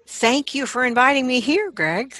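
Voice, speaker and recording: A middle-aged woman, a vocal coach, explaining things conversationally as an interview guest, in an animated manner. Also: high-pitched, moderate pace, no echo, studio quality.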